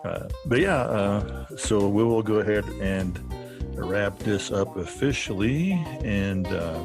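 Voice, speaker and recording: A man's voice: lowish voice, slow pace, over music. A man hosting a podcast, talking in a chatty, casual way and chuckling as he speaks. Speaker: podcast host. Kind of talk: casual conversational interview.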